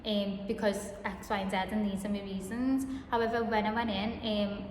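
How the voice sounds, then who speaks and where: A woman's voice: medium pitch, level tone, normal speed, darkish tone. Girl late 20s telling her story, lots of ums, echoey room with a bit of hum. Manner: chatty, frequent ums and restarts. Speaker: vlogger. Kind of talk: personal storytelling to camera.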